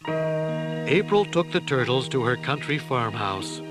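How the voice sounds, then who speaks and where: A man narrating a children's adventure story in a deliberate, theatrical style. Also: mid-range voice, lively intonation. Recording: loud music behind the voice.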